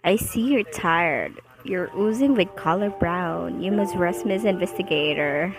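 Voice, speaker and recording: A woman's voice: lowish voice, unhurried. A knowing, matter-of-fact schoolgirl, played by a woman, with music running under the radio play. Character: cool girl who sees emotions as colors.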